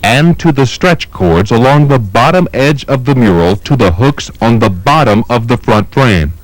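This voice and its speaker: A man reading scripted instructional narration for a training film in a measured, methodical manner. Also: mid-range voice, animated, no room sound, rough recording. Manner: measured, methodical, step-by-step delivery.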